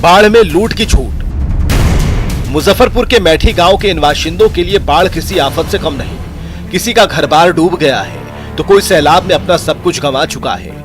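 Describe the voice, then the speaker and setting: A man's voice: on the high side, lively intonation, moderate pace. A man delivering a scripted, dramatic news voice-over. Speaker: news narrator. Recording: music bed behind voice.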